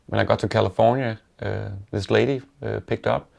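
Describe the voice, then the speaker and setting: Gravelly Danish-accented man, low and flat. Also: a little fast. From Denmark. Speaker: interviewee. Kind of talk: casual reflective storytelling.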